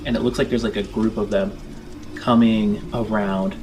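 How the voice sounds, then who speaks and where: A man narrating a tabletop game as game master, describing scenes in halting, improvised phrases. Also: lowish voice, level tone, noisy background. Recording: background music under the voice. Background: music.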